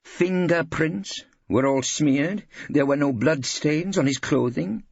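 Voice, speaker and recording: Man doing an eager, high-pitched Welsh solicitor. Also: takes it slowly, creaky, husky, dry recording, muffled recording, full character voice. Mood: hopeful. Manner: zealous, optimistic, preachy. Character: earnest, eager, indignant Welsh male solicitor.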